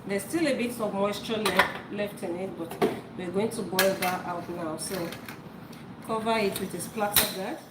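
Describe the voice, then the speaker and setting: A woman's voice: medium pitch, normal speed. A woman whose first language is Yoruba, hosting a live cooking stream and talking casually while she cooks in her home kitchen. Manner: chatty, repeats words, talks while cooking. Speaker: cooking show host. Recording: echoey kitchen with loud noise.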